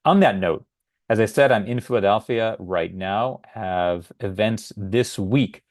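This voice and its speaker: A man's voice: lowish voice, a little slow, no echo, clean studio sound. A middle-aged American man hosting his own podcast, talking through a solo episode in an earnest, conversational way with a few filler words. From United States. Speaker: podcast host.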